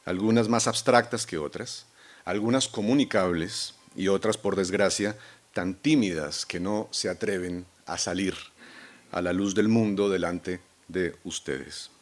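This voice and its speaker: A man with a Colombian accent, a novelist accepting a prize, speaking in a measured, reflective way with soft hesitations. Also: low-pitched. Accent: Colombian Spanish. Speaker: award-winning novelist.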